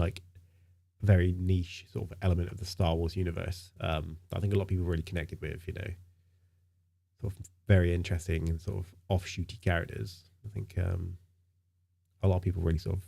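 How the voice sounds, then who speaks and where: A man's voice: sits low, steady tone, fast, gravelly, mellow, slightly rough. A man streaming live in casual chat, sounding nasal from a stinking cold, rambling with lots of fillers and repeated words.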